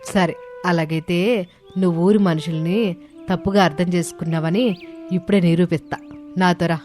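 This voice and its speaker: Assertive village woman voiced by an actress, sarcastic, chiding, low and mellow, light music behind. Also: steady tone, normal speed, close to an everyday voice. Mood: firm, chiding. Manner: firm, chiding.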